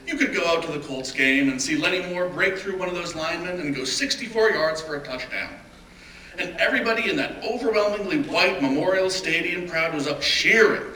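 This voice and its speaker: A man performing a dramatic reading as a reflective big-city mayor, telling his memories in a deliberate, emphatic, earnest way. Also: on the high side, lively intonation, sharp tone. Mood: emphatic, indignant. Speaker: stage reader in a dramatic reading. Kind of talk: dramatic reading of oral history monologue. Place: live reading in a roomy indoor venue. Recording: echoey room, distant mic, background noise.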